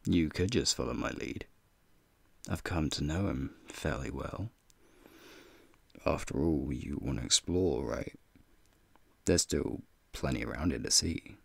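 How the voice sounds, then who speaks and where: A man voicing a flirty, teasing male werewolf in an ASMR roleplay, with a deep, gravelly, husky voice and folksy slang. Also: measured pace, long pauses, no room sound, exaggerated voice. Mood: coaxing. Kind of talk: ASMR roleplay, speaking to the listener.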